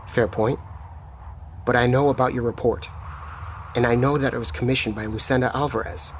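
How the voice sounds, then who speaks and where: Composed military commander, played by a man, measured, mid pitch. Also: a little hiss or hum, close to an everyday voice. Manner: calm, measured, matter-of-fact. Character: calm, commanding male military chief. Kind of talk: audio drama acting.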